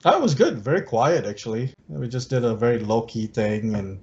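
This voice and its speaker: A man co-hosting a podcast from home, chatting in a relaxed way and laughing often over a video call. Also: medium pitch, normal speed. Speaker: podcast co-host. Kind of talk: casual chat. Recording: dull lo-fi call audio.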